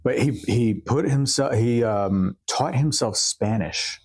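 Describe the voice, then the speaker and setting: A man's voice: medium pitch, takes it slowly, a little mellow. Man, podcast co-host, casual chat, laid-back, rambling, swears often. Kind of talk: casual banter.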